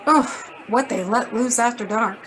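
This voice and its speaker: An actress playing a brisk, blunt, wisecracking female editor in a hard-boiled radio drama. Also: on the high side, crowd noise, natural voice, rough recording. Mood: dryly dismissive. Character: brisk, sardonic, worried female magazine editor.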